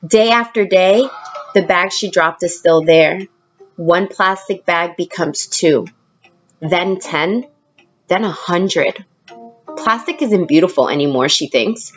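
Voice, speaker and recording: A woman teacher reading a picture book aloud expressively, pausing to prompt young listeners with questions. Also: lowish voice, muffled recording, faint music behind. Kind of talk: storybook read-aloud with questions to children.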